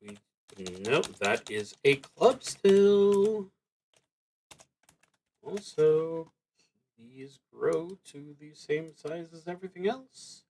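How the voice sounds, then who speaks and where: A man's voice: a little high, lively intonation, very slow, pauses often, a little mellow. A man live-streaming a solo tabletop roleplaying game, reading prompts and thinking aloud as he plays.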